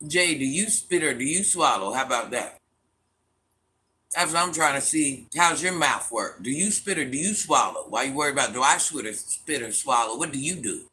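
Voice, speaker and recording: A deep-voiced middle-aged woman hosting a casual livestream, reading viewer comments aloud and talking back to them bluntly and with sass. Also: lively intonation, moderate pace, sharp tone. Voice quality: deep, smoky voice. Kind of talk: casual live chat.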